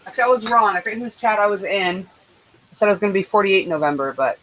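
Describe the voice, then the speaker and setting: A woman in her forties, a guest on a crafting live stream, chatting in a friendly, lively way. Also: medium pitch, quick pace, poor audio. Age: 40s. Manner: lively, laughs easily. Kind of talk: casual chat.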